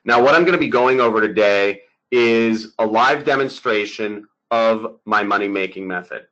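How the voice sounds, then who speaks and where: A man presenting a sales webinar over slides, talking in an energetic, emphatic, salesy way. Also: fairly low pitch, normal speed, muffled recording.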